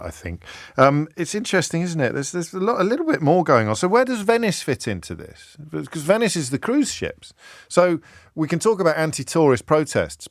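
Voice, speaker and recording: A man hosts a phone-in show from a studio. His voice moves around a lot in pitch as he teases and throws in wry asides. The close microphone keeps the sound dry. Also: no room sound. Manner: quick, teasing, wry asides. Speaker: radio talk show host. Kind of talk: phone-in radio chat. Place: radio studio.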